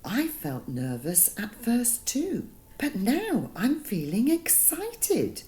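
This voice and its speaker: Lady putting on a mango fella, curious n careful, slow wondering voice, super expressive, bedtime story. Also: a little high, voice pushed up, heavily acted. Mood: excited.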